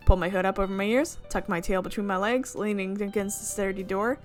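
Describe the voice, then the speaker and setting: A woman's voice: mid-range voice, fairly even intonation, brisk, soft background music. A woman hosting a gaming video, reading a visual novel's narration aloud and often stopping to correct her slips. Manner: reads narration with frequent slips and self-corrections.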